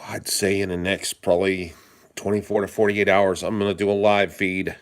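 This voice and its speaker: A man hosting a live stream, hoarse and stuffed up from a cold, talking casually in a rambling way and greeting viewers by name. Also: deep voice, flat delivery, normal speed. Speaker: livestream host.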